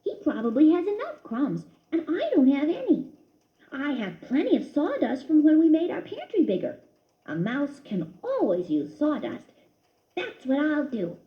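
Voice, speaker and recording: A woman voicing a young boy mouse who is greedy and later guilty, with a high squeaky voice and a stammer. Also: wildly swooping pitch, moderate pace, echoey room. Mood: scheming. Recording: dull lo-fi recording.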